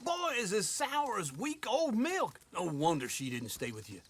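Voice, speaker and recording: An actor playing a crotchety, mocking old-timer cowboy in a video game, folksy and crude, with an American accent. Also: male voice, high-pitched, wildly swooping pitch, measured pace, a bit bright, a little hiss or hum, heavily acted. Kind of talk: comic video game dialogue.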